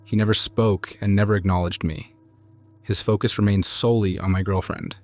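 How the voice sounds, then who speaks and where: A man calmly narrating true scary stories in a measured, hushed scripted style. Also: fairly low pitch. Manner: calm, measured, hushed storytelling. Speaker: narrator reading viewer stories. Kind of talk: scripted horror story narration.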